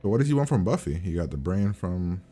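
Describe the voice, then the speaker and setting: A man with a low voice talks in loose, slangy commentary. He is blunt and swears constantly, reacting as he watches. Music plays quietly behind him. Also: big pitch swings, fast. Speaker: host reacting to a TV episode. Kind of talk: casual profane reaction commentary.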